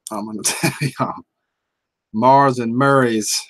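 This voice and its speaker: A man's voice: medium pitch, steady tone, takes it slowly, a bit bright. A middle-aged man with a Southern US accent, chatting casually and folksily while he hosts a livestream and reads out the chat. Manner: folksy and chuckling, drawls out words. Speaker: livestream host reading chat.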